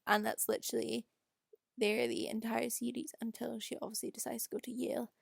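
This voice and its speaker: A woman's voice: on the high side, level tone, a little fast, gravelly, breathy edge, no room sound, studio quality. A young woman with a Scottish accent, rambling casually and self-deprecatingly in an unscripted voice-over. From Scotland. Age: young adult. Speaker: video creator doing a voice-over. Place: quiet room at home.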